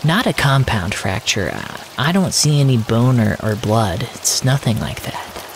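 A man telling a dramatic first-person story in deliberate, drawn-out phrases with frequent pauses. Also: medium pitch, sing-song, noisy background. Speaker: narrator of a fiction podcast. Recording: music playing behind the voice. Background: prominent music.

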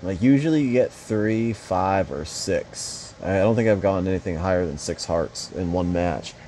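A man's voice: sits low, expressive, moderate pace, dark tone, slight background noise. A young man casually commenting on a mobile fighting game, rambling and swearing with joking asides.

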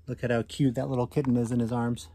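An American man in his thirties reading a picture book aloud to children, commenting and asking questions with drawn-out emphasis on key words. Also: mid-range voice, level tone, mellow. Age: 30s. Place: small indoor room at home.

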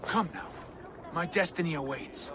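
An actor playing a proud, brooding Viking prince obsessed with visions, solemn and deliberate, in a dramatic video game cutscene. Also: male voice, on the high side, background noise, natural voice. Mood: wistful, reverent.

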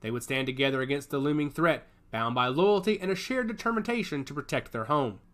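A man's voice: mid-range voice, animated, a little fast, a bit bright. A man narrating a story in a dramatic storytelling cadence.

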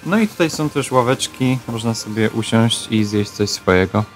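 A man's voice: fairly low pitch, normal speed. A Polish man giving casual travel vlog narration as host, speaking outdoors on a hiking trail. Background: music.

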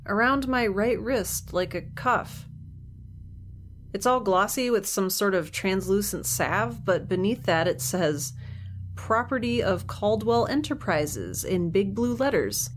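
A young woman stranded alone in space in an audio drama, wry and sarcastic even when things go wrong. She speaks slowly and deliberately. Clear music runs underneath her. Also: mid-range voice. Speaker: narrator of her audio log.

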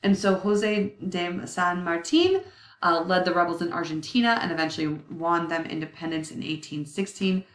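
A woman's voice: on the low side, moderate pace. Woman teacher lecturing over slides, roomy sound. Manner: conversational, self-correcting, many ums. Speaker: history teacher. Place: indoor room. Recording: roomy, slightly distant webcam audio.

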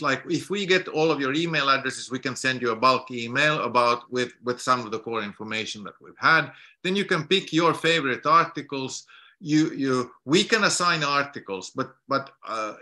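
A middle-aged man from Finland talks over a video call from a home study lined with books. His voice is strikingly bright and his delivery halting, with many restarts and repeated words as he explains. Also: medium pitch. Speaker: guest lecturer.

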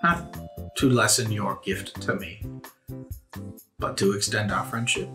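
A man's voice: lowish voice, a little slow, music in the background. A man taking part in a tabletop game podcast as a player, speaking for his character.

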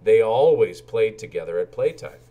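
A man reads a children's storybook aloud as a storyteller. His delivery is expressive and animated, with intonation that moves widely from line to line. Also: lowish voice, normal speed, noisy background. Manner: animated, acts out character lines.

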